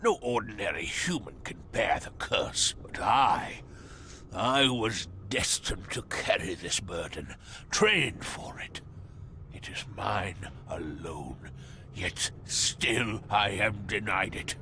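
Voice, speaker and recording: An actor playing a haughty, zealous male cultist in a video game, speaking formally and theatrically, often sinking into a breathy murmur. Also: lowish voice, lively intonation, slow pace, bright, forceful tone, slight background noise, exaggerated voice. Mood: solemn. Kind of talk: dramatic video game cutscene acting.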